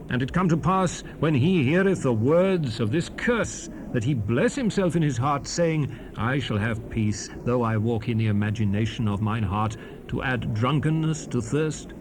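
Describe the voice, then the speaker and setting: A man's voice: medium pitch, natural voice. An actor playing a stern, fervent prophet exhorting his people in a grave, declamatory dramatized scripture reading. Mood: stern, warning. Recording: noisy 1970s cassette rip.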